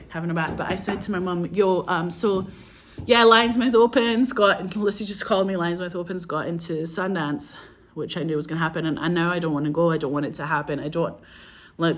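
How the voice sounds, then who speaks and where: Woman, low-pitched, rambling casual storyteller, swears a lot. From Scotland. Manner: chatty, swears freely, lots of fillers and laughs. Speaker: filmmaker guest.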